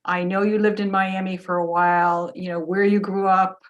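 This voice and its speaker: A woman's voice: mid-range voice, flat delivery, normal speed, muffled recording. A woman hosting a podcast, asking questions in a chatty way with lots of fillers. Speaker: podcast host. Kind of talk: casual interview.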